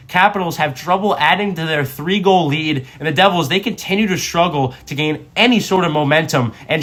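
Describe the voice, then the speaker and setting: A man's voice: fairly high pitch, a bit bright. A man working as a sports reporter, narrating a scripted hockey game recap.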